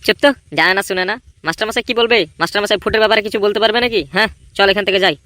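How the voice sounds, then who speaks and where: A brash cartoon village boy, voiced by a man. His voice is high and piercing, recorded dry and close. He speaks bluntly, pushing and dismissing as he goes. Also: rapid-fire, bright, forceful tone, dry recording. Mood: dismissive. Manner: blunt, pushy. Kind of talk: comedy cartoon dubbing.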